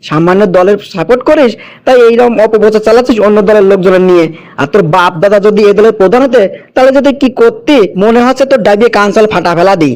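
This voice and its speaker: An indignant cartoon village uncle, voiced by a man, scolds in a high voice and at a rapid clip. His speech has a Kushtia accent from Bangladesh, and he hectors with rhetorical questions. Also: darkish tone, close to an everyday voice. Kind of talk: animated cartoon dialogue.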